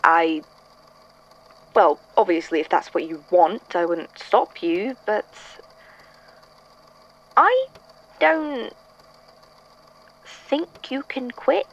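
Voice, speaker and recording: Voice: medium pitch, lively intonation, very slow, pauses often, a bit bright, dull audio, heavily acted. An actor playing a wry, weary, blunt troublemaker in an audio drama, talking in a dry, hesitant, conversational way. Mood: dry, guarded. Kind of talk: fan audio drama acting.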